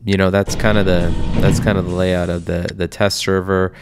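A man's voice: sits low, little pitch movement, normal speed, music in the background. A man with a stuffy, nasal voice from a cold, giving rambling casual commentary over a mobile game. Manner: rambling, filler-heavy. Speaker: mobile game video host.

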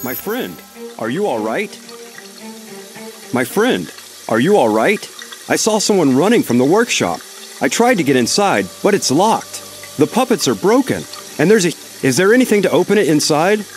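A man playing a concerned middle-aged gentleman in a mystery video game, speaking with earnest urgency. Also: a little high, big pitch swings, normal speed, long pauses, soft background music. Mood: worried. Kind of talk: dramatic video game acting.

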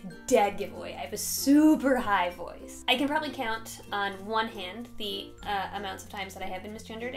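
A woman's voice: medium pitch, fairly bright tone. A young woman in a casual, personal chat, telling stories animatedly on a couch indoors. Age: young adult. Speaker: vlog guest. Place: small room indoors, on a couch.

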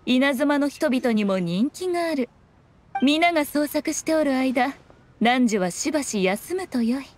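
An actress playing a sly, self-assured fox shrine maiden in a Japanese video game, speaking in a lofty, archaic, composed manner. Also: female voice, high voice, expressive. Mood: sly, scheming. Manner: archaic, lofty, unhurried speech with teasing composure.

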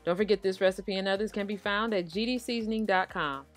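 A woman's voice: medium pitch, fast. An American woman hosting a cooking show, narrating a recipe casually and talking directly to viewers in a conversational way. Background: background music.

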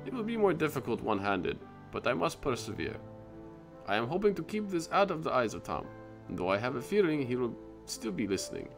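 A man's voice: mid-range voice, big pitch swings, a little fast, soft background music, pitched above the performer's own voice. A man voicing a troubled male Russian space station scientist with a clumsy put-on Russian accent, reading his logs aloud. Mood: determined.

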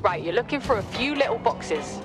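An actress playing a cheeky, sly smuggler in a video game, with a drawling, sardonic, teasing manner. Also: female voice, fairly high pitch, expressive, moderate pace, over music, natural voice. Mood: wry, teasing. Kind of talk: video game cutscene acting.

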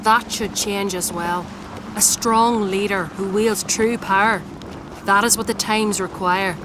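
A stately, ambitious queen from a fantasy video game, voiced by an actress. She speaks sternly at a middling pitch, with clear background noise. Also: fairly even intonation, unhurried, close to an everyday voice. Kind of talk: video game dialogue acting.

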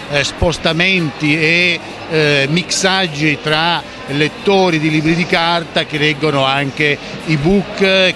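A middle-aged man answering an interview in a halting way, with constant fillers, into a handheld mic. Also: on the high side, noisy background. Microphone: handheld reporter mic.

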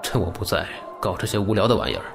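Audio drama gangster, young played by a male actor: low, curt, brooding, reverberant with music behind. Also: big pitch swings, quick pace, vocal fry, exaggerated voice. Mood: cold, scornful. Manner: cool, curt, restrained. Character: cold, brooding young male gang member bent on revenge. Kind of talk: Chinese audio drama acting.

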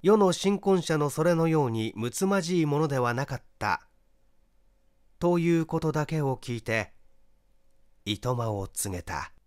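A man reading a mystery story as scripted narration, in a measured, expressive storytelling manner. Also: fairly high pitch, no room sound, clean studio sound. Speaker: audiobook narrator.

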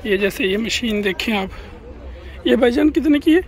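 Man, high voice, chatty market-tour vlogger, phone mic outdoors. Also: lively intonation, moderate pace, a faint crowd. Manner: chatty, keeps addressing viewers as "janab". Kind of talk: walking market tour vlog. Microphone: phone camera held by the walking speaker.

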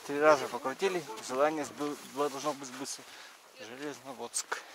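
A man's voice: a little high, sing-song, normal speed, vocal fry. A man giving casual on-the-spot commentary in short, repetitive remarks, filming outdoors as a travel vlogger. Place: outdoors in a snowy park. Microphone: handheld camera microphone. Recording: very noisy outdoor camera recording.

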